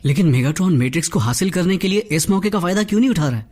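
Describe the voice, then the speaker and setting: A curious, anxious teenage boy rattles off his questions at a fast pace. His voice rises and falls with lively energy. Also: high voice. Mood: puzzled. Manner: quick, questioning.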